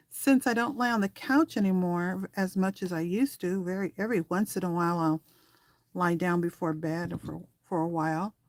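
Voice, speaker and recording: A woman's voice: on the low side, normal speed, dry recording. A woman hosting a craft tutorial in a casual, rambling, conversational way, thinking aloud as she works with her hands. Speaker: craft tutorial host and small online shop seller.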